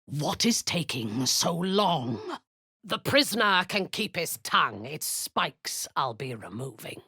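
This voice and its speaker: A husky, cruel drow warlord. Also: female voice, deep voice, big pitch swings, takes it slowly, pushed and bright, dry recording, clean studio sound, full character voice. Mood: commanding. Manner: commanding, menacing, drops to a husky whisper. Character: cold, imperious female drow warlord, cruel and commanding. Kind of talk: video game voice acting.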